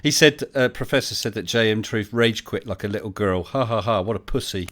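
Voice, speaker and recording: A man's voice: fairly low pitch, fairly quick, a bit bright, slight background noise. Man, live stream host, blunt, mocking, laughs often. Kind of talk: live online chat stream.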